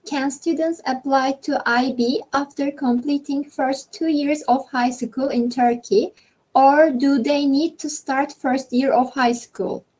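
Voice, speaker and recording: A woman's voice: high-pitched, fairly even intonation, measured pace, darkish tone, low-quality recording. A woman moderating a webinar over a video call, politely and carefully reading out audience questions.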